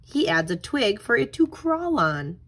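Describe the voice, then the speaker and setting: Slow-paced woman reading to children. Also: on the high side, sing-song. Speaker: tutor reading a picture book and leading a craft. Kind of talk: children's read-aloud and craft instruction.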